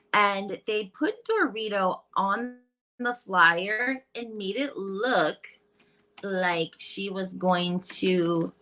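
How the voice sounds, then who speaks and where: A woman's voice: medium pitch, pushed and bright. A woman hosting a casual gossip chat, reading headlines aloud in a breezy, chatty way with lots of fillers. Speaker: gossip channel host.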